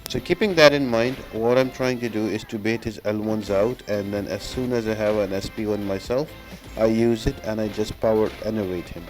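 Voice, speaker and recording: Low-voiced man narrating a game guide. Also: brisk, darkish tone, faint music behind. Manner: casual, instructional. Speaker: game guide video host. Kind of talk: explanatory gameplay walkthrough commentary.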